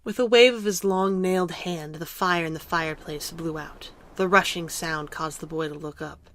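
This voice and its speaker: Low-voiced woman narrating a story. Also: steady tone, normal speed, fairly bright tone, slight background noise. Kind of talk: scripted story narration for audio drama.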